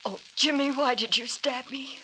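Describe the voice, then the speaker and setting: A woman's voice: sits high, fairly bright tone. A woman acting a weak, wounded young woman in an old radio drama, plaintive and distressed. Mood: hurt, pleading. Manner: plaintive, drawn-out. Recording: hissy old-time radio recording.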